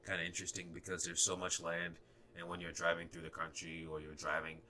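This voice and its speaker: A man's voice: low voice, level tone, quick pace, bright, forceful tone. A young man in his twenties from Ghana, a native Ga speaker with a slight Ghanaian accent, talking hesitantly with many fillers and restarts in a casual podcast conversation. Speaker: guest.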